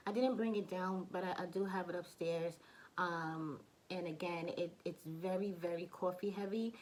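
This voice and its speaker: Hoarse chatty woman reviewing fragrances. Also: fairly low pitch, fairly even intonation, moderate pace, darkish tone, slight background noise, poor audio. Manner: chatty, many fillers and restarts. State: hoarse from allergies, coughing. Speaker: beauty vlogger. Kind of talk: casual product review.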